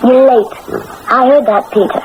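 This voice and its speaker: A woman's voice: fairly high pitch, moderate pace, narrow, tinny audio, natural voice. A woman playing an earnest, headstrong teenage German princess in a radio drama, with a resolute, theatrical delivery. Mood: firm, earnest. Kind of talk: 1980s radio drama acting with first-person narration. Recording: noisy old radio drama broadcast recording.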